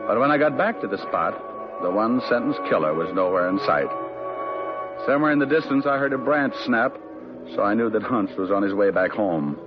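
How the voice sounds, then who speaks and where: A dry-humored private eye, played by a man in a 1940s radio drama. His voice sits low and his lines come out wry. The broadcast sounds dull and muffled, with music behind it. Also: lively intonation, a little fast, close to an everyday voice. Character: wisecracking male private eye narrator. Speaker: lead detective narrator.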